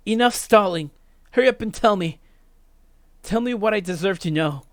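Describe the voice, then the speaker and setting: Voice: mid-range voice, lively intonation, moderate pace, dry recording, natural voice. A let's player voicing a desperate, accusing visual novel student, urgent and pleading. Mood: angry, demanding. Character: desperate, accusing schoolkid Megatron, indignant and confused.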